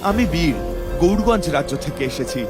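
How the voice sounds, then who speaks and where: A man's voice: fairly high pitch, expressive, normal speed, loud music behind the voice, close to an everyday voice. A man voicing a brave, resolute young hero in a fairy-tale cartoon, with a declamatory, determined delivery.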